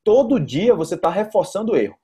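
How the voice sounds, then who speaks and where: Young Brazilian man, husky higher-pitched voice. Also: very animated, brisk, warm tone. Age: young adult. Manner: explanatory, repeats points for emphasis. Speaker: Portuguese teacher. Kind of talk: informal one-to-one online tutoring. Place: indoor room, video call.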